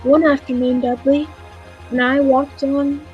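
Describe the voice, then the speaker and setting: A woman playing a gentle, contented time traveler who tells the tale in a measured, wistful way, in 1940s radio play style. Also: high-pitched, flat delivery, lo-fi sound. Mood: wistful narration. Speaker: amateur drama club actor. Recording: roomy echo with faint music.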